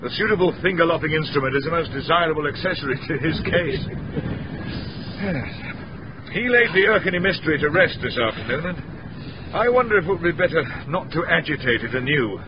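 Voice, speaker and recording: An actor playing a methodical, courteous detective inspector with quiet authority in a radio drama. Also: male voice, on the high side, animated, fairly quick, natural voice. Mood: brisk, measured. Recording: dull, noisy radio drama recording.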